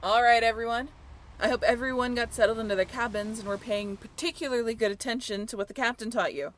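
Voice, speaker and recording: A woman's voice: fairly high pitch, fairly even intonation, fast, a little hiss or hum, lightly acted, rough recording. A young woman playing a friendly, teasing sailing-ship crew member in an amateur audio roleplay, chatty and informal with a joking streak. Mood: rousing, commanding. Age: young adult.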